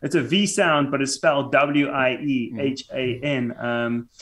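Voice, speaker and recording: A man's voice: medium pitch, steady tone, a bit bright. A man in his thirties from South Africa, a native Afrikaans speaker with a South African accent, talking as a podcast guest from a home room over a remote call with headphones and a mic, stumbling and restarting his sentences often. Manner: halting, stammering restarts. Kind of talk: casual podcast interview.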